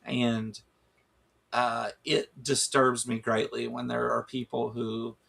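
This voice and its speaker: A man's voice: medium pitch, flat delivery, slow, vocal fry, fairly bright tone, husky. A man co-hosting a casual livestream chat, rambling with lots of restarts and fillers, losing his voice. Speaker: show co-host.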